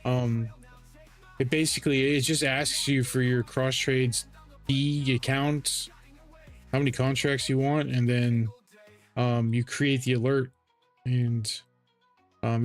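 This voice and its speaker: A man hosting a casual screen-share tutorial, explaining settings in a halting way with frequent self-corrections. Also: medium pitch, flat delivery. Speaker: trading bot developer and livestream host. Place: live voice call on a screen-share stream. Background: music.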